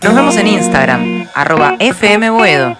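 Lively low woman announcer over loud music. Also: normal speed. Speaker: station announcer. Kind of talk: scripted radio station tag. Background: loud guitar music.

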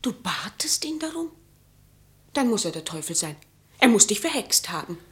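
An actress in a German radio drama playing an anxious young mistress, earnest and intense. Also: female voice, mid-range voice, sing-song, normal speed, natural voice. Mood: troubled, intense.

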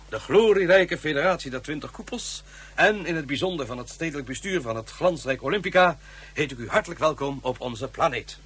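A pompous, husky official. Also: male voice, fairly high pitch, fairly bright tone. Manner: formal, ceremonious. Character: pompous male government official. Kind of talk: radio play acting.

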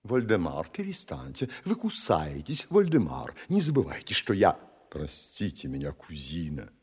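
A man's voice: sits low, wildly swooping pitch, normal speed, darkish tone, breathy edge, pitched below the performer's own voice, full character voice. A man voicing a flirtatious, fretful society lady on a ship, with an affected, languidly complaining manner, in a literary stage monologue. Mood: coy reproach.

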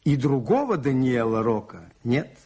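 A priest detective in a radio drama, quietly insistent and calm. His voice is husky and mid-pitched, and he reasons things out with deliberate, measured firmness. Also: full character voice. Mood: calm, explaining. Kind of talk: 1980s Soviet radio play acting.

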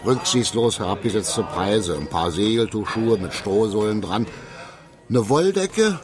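An actor playing a boastful, chummy small-time crook with a Berlin accent in a radio drama, colloquial and swaggering. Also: male voice, lowish voice, lively intonation, measured pace. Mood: mocking sales patter. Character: boastful, chummy Berlin crook spinning tales and hawking goods. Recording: music behind the dialogue.

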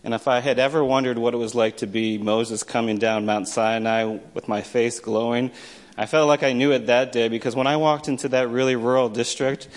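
A man's voice: mid-range voice, steady tone, brisk. An American man telling a personal story to listeners in a wry, self-deprecating manner. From small town in the United States. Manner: wry, self-deprecating, acts out remembered dialogue. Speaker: storyteller, poet and teacher.

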